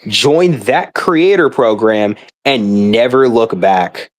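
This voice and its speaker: A man's voice: mid-range voice, animated, slow pace. A young man rambling casually in an exasperated, emphatic way, swearing often as he complains like a gamer venting. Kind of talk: casual rambling rant about a video game.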